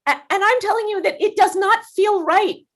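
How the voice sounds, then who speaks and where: A woman's voice: very high-pitched, normal speed. A woman in an improvised comedy playing a wealthy boss who is passionately in love with her employee and talks in an emphatic, indignant way. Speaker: improv performer.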